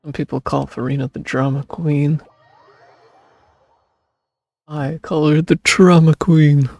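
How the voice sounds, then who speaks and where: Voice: medium pitch, fairly even intonation, slow, gravelly, a little mellow, no room sound, muffled recording, soft background music. A game streamer giving casual, jokey commentary over gameplay with teasing asides. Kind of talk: casual live gameplay commentary with read-aloud.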